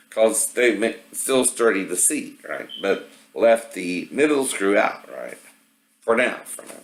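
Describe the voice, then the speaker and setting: A man giving a casual, rambling product walkthrough, often tagging his statements with a questioning "right?". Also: on the low side. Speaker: vlogger showing product assembly problems. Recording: roomy, echoey home-video sound.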